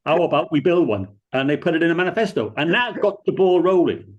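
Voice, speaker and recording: A man's voice: fairly high pitch, sing-song, fast, husky, dull audio. A man from Cardiff with a Cardiff accent, speaking plainly and emphatically on a discussion panel. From Wales.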